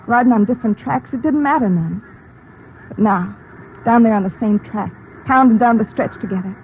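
An anguished, bitter American woman played by an actress in a radio melodrama, slangy and emotional. Her voice is fairly high, on a muffled old recording with faint noise. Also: normal speed, lightly acted. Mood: fearful. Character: anguished, bitter, tough-talking woman fearing for her man. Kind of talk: 1940s-50s radio melodrama acting.

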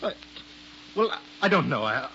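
A man's voice: mid-range voice, very animated, a little slow, gravelly, bright, forceful tone, exaggerated voice. An actor playing an arrogant, defensive male circus performer in a 1940s-style radio drama, speaking with stiff, put-on foreign airs. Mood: indignant. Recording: dull lo-fi old radio broadcast with faint hiss.

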